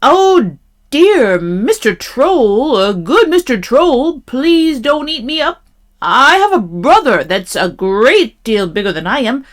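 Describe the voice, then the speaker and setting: A woman's voice: medium pitch, very animated, a little slow, hardly any pauses, a bit bright. Woman doing an anxious, pleading middle-sized goat. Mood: frightened pleading. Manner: pleading, hesitant.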